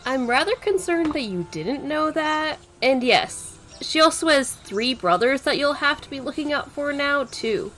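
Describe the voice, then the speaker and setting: High, scolding adventurer woman acted. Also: animated, unhurried, hardly any pauses, a bit bright, faint music behind. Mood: exasperated, scolding. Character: exasperated, scolding female adventurer.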